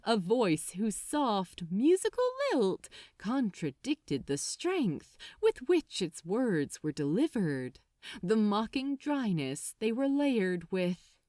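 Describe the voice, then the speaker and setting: A woman reading fiction aloud as an audiobook narrator, with expressive scripted storytelling. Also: medium pitch, takes it slowly, no room sound, clean studio sound.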